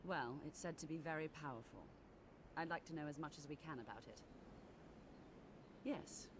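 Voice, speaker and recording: An actor voicing a measured, formal scholar of magic in a video game. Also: medium pitch, noisy background, natural voice. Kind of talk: video game dialogue acting. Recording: music under the voice.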